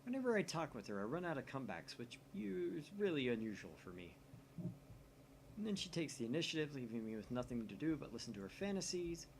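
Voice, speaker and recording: A man's voice: medium pitch, very animated, a little fast, gravelly, slight background noise, low-quality recording. Man reading a visual novel aloud. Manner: wry, reads narration with commentary. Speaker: let's-play host.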